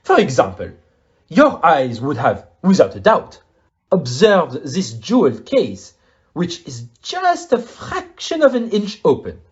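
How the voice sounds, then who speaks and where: Man as fussy French-accented gentleman detective, audio play. Theatrical, courteous, wildly swinging intonation, slightly muffled recording. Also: on the high side, huge pitch swings, slow, heavily acted. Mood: proud, dramatic. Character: fussy, theatrical middle-aged male French-speaking detective.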